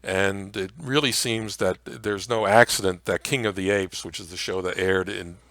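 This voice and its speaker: A man presenting an informal slide lecture in a halting, conversational way. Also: low voice, fairly bright tone, no room sound.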